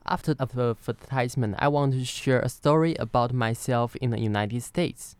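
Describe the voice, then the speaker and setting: Clear-voiced Taiwanese man telling a story. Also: medium pitch, normal speed, dry recording, clean studio sound. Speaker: podcast co-host. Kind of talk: semi-scripted personal storytelling.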